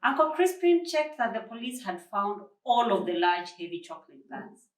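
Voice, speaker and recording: A woman from Kenya reads a children's book aloud as narration, with deliberate, expressive phrasing. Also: fairly high pitch. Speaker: volunteer story reader. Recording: echoey room, slightly distant microphone.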